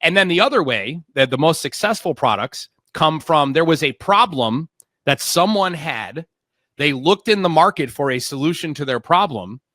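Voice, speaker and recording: A man's voice: a little high, lively intonation, normal speed, a bit bright, dry recording, studio quality. A man hosting a tech interview, talking emphatically and often restarting his words. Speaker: podcast host. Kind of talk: casual interview.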